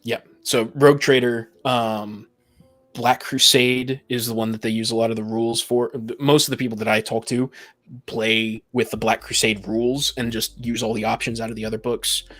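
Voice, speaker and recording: A man's voice: medium pitch, level tone, moderate pace, a bit bright, soft background music. A man in a casual, opinionated discussion, talking as a podcast host about games.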